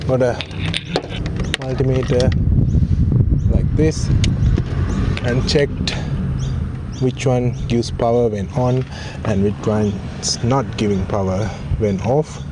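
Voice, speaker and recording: A man giving a casual, low-key and somewhat hesitant unboxing and installation walkthrough. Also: fairly even intonation, slow, pauses often. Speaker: vlogger demonstrating a product. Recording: clearly noisy, vehicle ambience.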